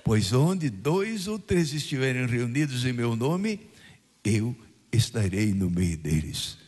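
An older Brazilian priest from southern Brazil, reading the gospel and preaching a homily at mass, with emphatic rising phrases and questions put to the congregation. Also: male voice, medium pitch, big pitch swings, slow pace, darkish tone, breathy edge. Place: church. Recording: radio broadcast of a mass in a reverberant church.

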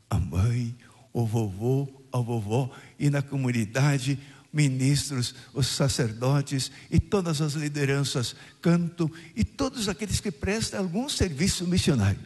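An older Brazilian priest from southern Brazil, reading the gospel and preaching a homily at mass, with emphatic rising phrases and questions put to the congregation. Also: male voice, on the high side, animated, unhurried, darkish tone, breathy edge. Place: church. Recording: radio broadcast of a mass in a reverberant church.

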